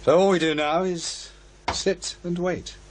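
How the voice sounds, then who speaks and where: An actor playing a courteous, measured paranormal investigator who leads a team in an audio horror drama. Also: male voice, high-pitched, steady tone, slight background noise. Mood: composed.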